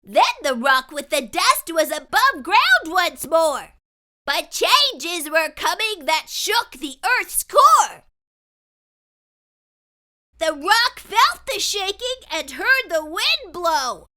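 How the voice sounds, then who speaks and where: A woman voicing an excitable young boy who spins a tall tale in dramatic, sing-song rhyme for a storybook read-aloud. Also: extremely high pitch, takes it slowly, voice pushed up, exaggerated voice. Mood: excited, insistent.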